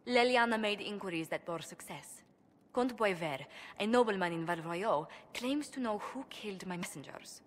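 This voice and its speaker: A woman's voice: medium pitch, lively intonation, normal speed, in a large hall, lightly acted. An actress playing a proud, worried young noblewoman diplomat in a video game, speaking formally, precisely and earnestly. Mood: composed, explaining. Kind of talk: video game cutscene acting.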